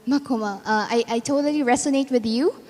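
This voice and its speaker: A woman's voice: fairly high pitch, brisk, dark tone. A woman interviewing someone conversationally, searching a little for her phrasing. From Philippines. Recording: echoey hall with long reverb.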